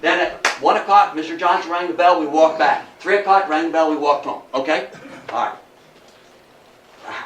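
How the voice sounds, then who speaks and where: A man's voice: on the high side, moderate pace. Older man lecturing in an echoey room. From Athens, New York, United States. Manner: animated, emphatic, repeats phrases, rhetorical questions. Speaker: local history lecturer. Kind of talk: informal public lecture with storytelling. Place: indoor talk before a small audience. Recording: echoey room, distant mic, faint noise.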